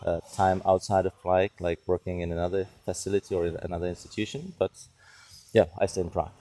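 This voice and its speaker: A middle-aged man with a Czech accent, a non-native English speaker, answering interview questions conversationally and haltingly as he searches for words. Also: deep voice, level tone, mellow. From Czech Republic. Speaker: psychiatrist and neuroscience researcher, interview guest.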